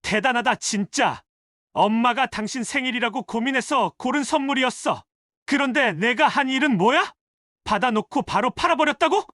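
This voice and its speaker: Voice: mid-range voice, lively intonation, a bit bright, no room sound, studio quality, close to an everyday voice. An actor playing an indignant adult son scolding a spouse with pointed, accusatory questions in a dramatized family story. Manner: accusatory, rapid rhetorical questioning. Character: indignant adult son scolding his wife over his mother.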